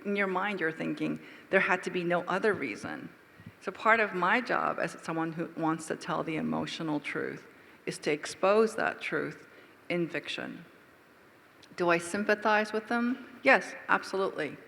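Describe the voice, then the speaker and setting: Gravelly bright woman author in a hall. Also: on the low side. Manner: emphatic, rhetorical questions. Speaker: author at a book talk. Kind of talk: public talk answering readers. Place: lecture hall with reverb. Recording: reverberant hall sound.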